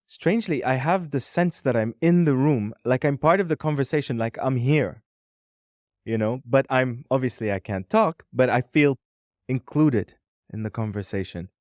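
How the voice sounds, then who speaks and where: A man's voice: mid-range voice, moderate pace, darkish tone, no echo, studio quality. A man co-hosting a podcast, reminiscing in a thoughtful, meandering way with frequent "um"s. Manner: thoughtful, rambling with many "um"s. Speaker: podcast co-founder and co-host. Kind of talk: casual podcast conversation.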